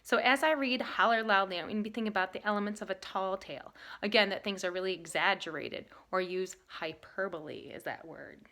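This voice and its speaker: A woman teaching and reading a picture book aloud with emphatic, expressive delivery. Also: medium pitch, brisk, few pauses. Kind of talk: lesson talk and animated storybook reading.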